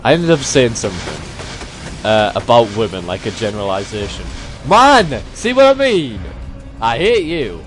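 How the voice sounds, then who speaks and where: A man's voice: mid-range voice, big pitch swings, measured pace. A young British man telling anecdotes in casual, slangy, bantering chat with a friend. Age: young adult. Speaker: video host telling stories. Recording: music behind the voice. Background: music.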